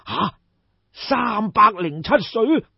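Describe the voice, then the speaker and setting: A man's voice: sits high, animated, slow, vocal fry, breathy edge, voice pushed up, full character voice. A man voicing an aging, ailing, credulous king of ancient China in an audiobook, sighing and marvelling. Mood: awed. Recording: dull, roomy audiobook recording.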